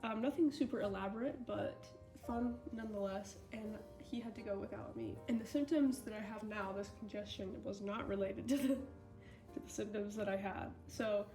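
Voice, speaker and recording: A woman's voice: mid-range voice, normal speed, warm tone, soft background music. A young woman with a cold, sounding stuffed up, chatting casually and apologetically about her art business while talking into a phone as a microphone in her home studio. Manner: chatty, self-deprecating, apologetic. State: congested with a cold. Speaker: artist vlogger. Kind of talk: casual chat to camera.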